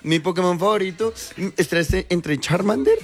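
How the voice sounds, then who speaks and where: A man's voice: sits high, moderate pace, over music. A man in his late twenties with a Colombian Spanish accent, telling a funny story on a morning show and laughing often. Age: 20s. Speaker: co-host. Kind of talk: casual radio banter.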